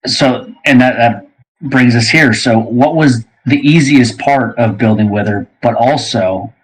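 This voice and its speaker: A man's voice: mid-range voice, a little slow, husky, poor audio. A man hosting a casual interview, putting questions in an informal, conversational way. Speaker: podcast host, interviewer.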